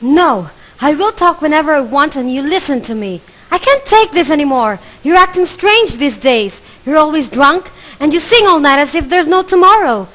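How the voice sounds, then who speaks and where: A woman's voice: high-pitched, lively intonation, moderate pace, hardly any pauses, poor audio. A woman playing a furious accusing wife. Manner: accusing, shouting in an argument. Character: bitter, furious middle-aged wife and mother. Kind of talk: amateur student radio drama acting.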